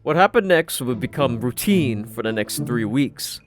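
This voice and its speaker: A man's voice: medium pitch, lively intonation, moderate pace. A man narrating a scripted fantasy story in the manner of an audiobook. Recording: music behind the voice. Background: background music.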